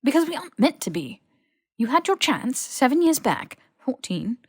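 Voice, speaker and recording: A woman's voice: sits high, big pitch swings, normal speed, a little mellow, breathy edge, no room sound, studio quality, voice pushed up, full character voice. A woman voicing a haughty, snippy young male wizard with sneering, clipped, posh phrasing in an audiobook. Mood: angry, snippy. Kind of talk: audiobook character voice.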